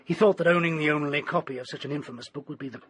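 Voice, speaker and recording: An actor playing an agitated, obsessed English doctor in a radio drama, with formal, dramatic delivery. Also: male voice, on the high side, very fast, a bit bright, slight background noise, lightly acted. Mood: grave, confiding. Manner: formal, dramatic, urgent pleading and grave storytelling. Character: agitated, desperate male doctor obsessed with an occult book. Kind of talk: British radio drama acting.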